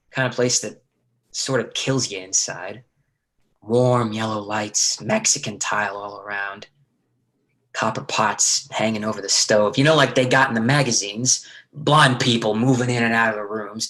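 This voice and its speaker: A man acts out a streetwise drifter brother in a stage drama. His voice is bright and forceful, with terse, slangy, needling lines that carry a sly menace. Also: on the low side, rough recording. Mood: wistful. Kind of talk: play reading, stage drama dialogue.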